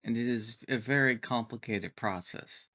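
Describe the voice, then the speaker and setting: A man's voice: medium pitch, moderate pace, bright, forceful tone, no room sound, clean studio sound, natural voice. A man playing a formal, literal-minded android crew member in a tabletop game, speaking in precise, clinical terms. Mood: clinical, explanatory.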